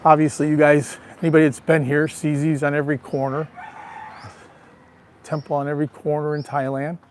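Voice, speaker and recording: Raspy man livestreaming a street walk. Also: on the high side, level tone, a little fast, a little mellow, slight background noise. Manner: chatty, greets viewers by name. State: raspy from allergy. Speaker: livestream vlogger. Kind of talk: casual live walking tour. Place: outdoors on a town street. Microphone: handheld phone.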